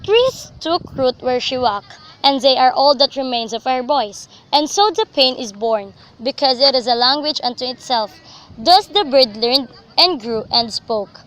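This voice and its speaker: Bright, high-voiced young woman narrating. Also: lively intonation, unhurried. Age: young adult. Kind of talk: scripted dramatic story narration. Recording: faintly noisy amateur recording.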